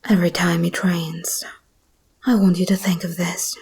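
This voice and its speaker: A woman voicing a seductive, menacing female monster hybrid in an ASMR roleplay, speaking in a breathy whisper with slow, deliberate, teasing delivery. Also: lowish voice, level tone, a little mellow, exaggerated voice. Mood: possessive, intimate.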